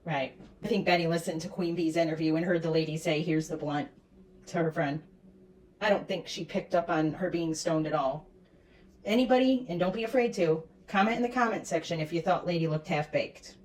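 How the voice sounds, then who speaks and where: Female reaction commentator, slightly low register, sardonic and critical, sounding set back from the microphone. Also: a little fast, a little mellow, far from the mic, poor audio. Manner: sarcastic, disapproving. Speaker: commentator reacting to clips of a streamer.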